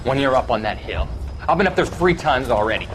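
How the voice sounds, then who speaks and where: An actor playing a haunted, defiant, war-crazed soldier in a video game, intense and brooding. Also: male voice, medium pitch, lively intonation, fairly quick, lightly acted. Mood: grim, haunted. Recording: noisy battlefield behind the voice.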